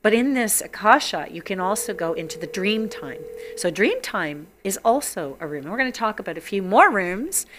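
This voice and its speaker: A woman hosting a psychic call-in show, her voice husky from being sick, chatty and enthusiastic, repeating words for emphasis. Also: medium pitch, very animated, moderate pace, few pauses, noisy background. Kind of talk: casual talk-show monologue.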